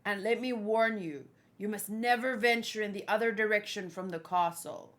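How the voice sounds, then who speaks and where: A woman plays a hurried servant of a castle in a manga read-along. The voice is bright and matter-of-fact, turning ominous as it warns travellers about the land. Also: mid-range voice, close to an everyday voice. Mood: grave warning.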